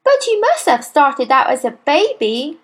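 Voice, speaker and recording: A woman as an earnest, high-voiced little schoolboy. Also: sing-song, measured pace. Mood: bold, questioning. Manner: eager, innocent, nervous. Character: brave, earnest little schoolboy, nervous but cheeky. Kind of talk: children's storybook character voice.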